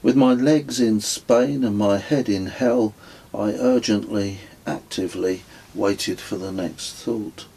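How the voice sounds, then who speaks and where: A man reading his own literary travel story as scripted narration, in a measured, descriptive way. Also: fairly low pitch, warm tone, breathy edge, low-quality recording. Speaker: author reading own book.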